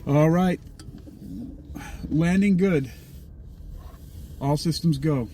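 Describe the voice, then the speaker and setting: A man with a soft, dark tone and fairly high pitch plays an astronaut on a mission. He speaks in measured, brief confirmations. Background noise runs under his voice. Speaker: role-player acting as an astronaut. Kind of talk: improvised radio-style mission dialogue.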